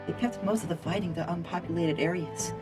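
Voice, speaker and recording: Man voicing a hushed, haunted woman narrator. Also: a little high, lightly acted. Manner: hushed, grave, measured. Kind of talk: scripted comic book narration. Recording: loud music behind, slightly distant.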